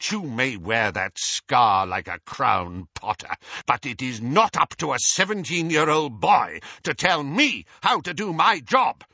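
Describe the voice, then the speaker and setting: A man performs a stern older government minister for a storybook, pompous and menacing. The growling voice is husky and swings widely in pitch. The audio is slightly dull. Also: sharp tone, no echo, exaggerated voice.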